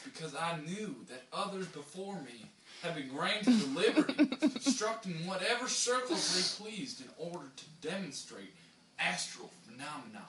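A young man with a bright, swooping voice reads a formal old text theatrically. His phrasing halts and restarts for effect. The sound is roomy and distant, from a camera across a small room. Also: a little high, slight background noise. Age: young adult. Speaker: reader taking turns in an informal group reading. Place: small bare room indoors. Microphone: camera mic from a few metres.

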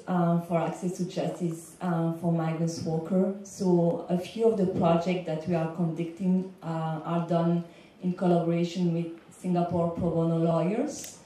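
Halting Belgian woman in an echoey room. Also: fairly low pitch, dark tone. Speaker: legal consultant, panel speaker. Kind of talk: semi-prepared panel speech. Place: indoor lecture room panel. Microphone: handheld microphone, recorded by far camera. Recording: echoey room, distant, faint background noise.

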